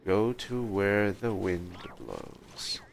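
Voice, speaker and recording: A man's voice: lowish voice, big pitch swings, extremely slow, vocal fry, slight background noise. A man livestreaming a game with casual, laid-back, musing commentary.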